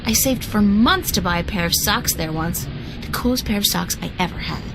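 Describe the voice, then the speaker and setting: A woman's voice: medium pitch, expressive, normal speed, gravelly, noisy background. A young woman voicing a sarcastic, determined heroine in a video game, with a dry way of talking. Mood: wry. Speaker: game protagonist.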